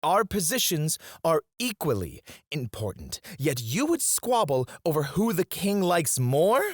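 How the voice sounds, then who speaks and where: A man's voice: a little high, wildly swooping pitch, a little slow, dry recording, clean studio sound, heavily acted. A man playing a cocky, disdainful royal alchemist in a scripted fantasy audio roleplay, speaking in a formal, haughty, theatrical way. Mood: exasperated. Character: cocky, disdainful male royal alchemist, sharp-witted and proud. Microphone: close, dry studio mic.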